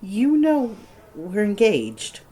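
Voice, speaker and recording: A woman's voice: medium pitch, huge pitch swings, drawn-out words, dark tone, breathy edge, full character voice. A woman playing a mouthy, sarcastic young fiancé in an audio drama. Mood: flustered. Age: young adult. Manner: sardonic, biting.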